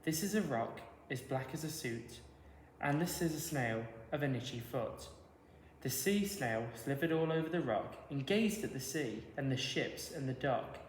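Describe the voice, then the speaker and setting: A man's voice: medium pitch, animated, normal speed, a little hiss or hum. A man reading a rhyming children's storybook aloud in a rhythmic narrating style. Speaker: teacher reading to pupils. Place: large reverberant indoor room. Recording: echoey hall with long reverb.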